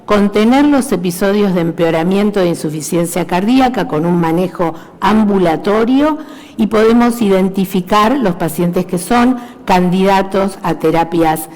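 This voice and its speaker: A woman giving a measured medical conference presentation, summarizing points in an orderly list. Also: mid-range voice, darkish tone. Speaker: conference speaker, cardiologist. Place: large lecture hall. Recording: reverberant hall.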